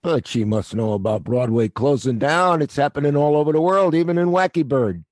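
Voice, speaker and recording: An actor playing a middle-aged male theater night watchman who is gruff but kind, with a wry, world-weary, fatherly way of talking. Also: on the high side, expressive, fairly quick, a little mellow, no echo, clean studio sound, lightly acted. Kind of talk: audio play acting.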